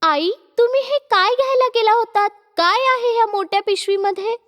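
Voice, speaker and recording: Lady doing a young sly daughter-in-law in a cartoon, very high, reverb-y. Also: moderate pace, a bit bright. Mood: innocent.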